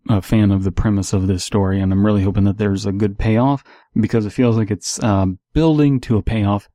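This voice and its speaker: A man's voice: lowish voice, mellow, dry recording, clean studio sound. A man reading stories aloud and giving casual, analytical commentary as the host.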